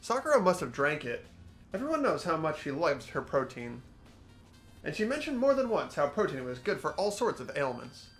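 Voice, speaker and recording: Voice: mid-range voice, very animated, normal speed, soft background music, natural voice. An amateur actor voicing an earnest, puzzled teenage boy student detective from a mystery game, hesitant and questioning. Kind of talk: amateur fan voice acting of a game script.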